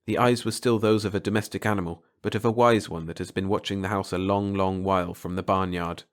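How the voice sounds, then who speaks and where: A man's voice: deep voice, fairly even intonation, a little fast. A man reading a short story aloud as a dramatic, scripted audiobook narration.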